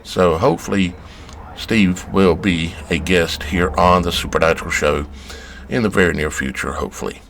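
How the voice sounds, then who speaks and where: Gravelly low-voiced man hosting a show. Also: normal speed, a bit bright, a little hiss or hum. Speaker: show host. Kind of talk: casual spoken introduction to viewers.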